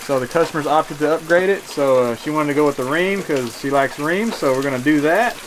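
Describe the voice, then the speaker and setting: A man's voice: fairly high pitch, brisk, slight background noise, poor audio. Joking man in his thirties. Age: 30s. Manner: joking, teasing play-by-play. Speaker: HVAC and plumbing contractor. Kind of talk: casual on-the-job vlog commentary.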